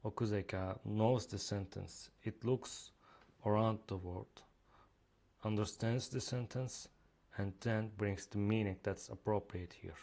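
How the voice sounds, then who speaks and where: A man's voice: on the low side, fairly even intonation, a little slow, creaky, darkish tone. A man with a Turkish accent, a native Turkish speaker, walking viewers through a reading app in a screen-recorded demo, speaking hesitantly with many fillers. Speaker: app creator demonstrating his reading app.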